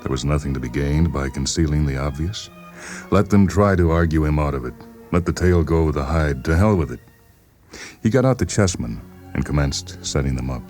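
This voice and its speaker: A man with a very deep voice hosts and reads short fiction for radio. His delivery is measured and dramatic, and music plays beneath the narration. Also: a little mellow. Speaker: host and story reader. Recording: voice over background music.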